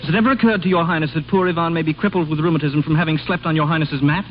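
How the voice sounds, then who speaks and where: A courteous, nervously devoted servant played by an actor in an old radio comedy. He talks fast in a lightish, lively voice. A faint hiss lies under it. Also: a little high, close to an everyday voice. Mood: meek, anxious. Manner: deferential. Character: polite, devoted, nervously smitten male waiter-servant. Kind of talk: 1930s-40s American radio comedy acting. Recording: muffled, noisy old radio recording.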